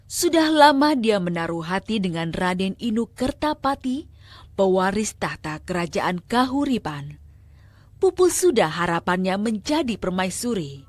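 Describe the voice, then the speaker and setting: A woman's voice: mid-range voice, no room sound. A young woman narrating a scripted children's folk tale as storyteller. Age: young adult. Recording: music under the narration.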